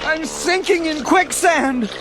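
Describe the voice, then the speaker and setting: A man voicing a zebra in a storybook, with pleading, drawn-out delivery, over a backing track. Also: extremely high pitch, background noise, pitched above the performer's own voice. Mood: panicked, pleading. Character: panicked young zebra with a mean streak.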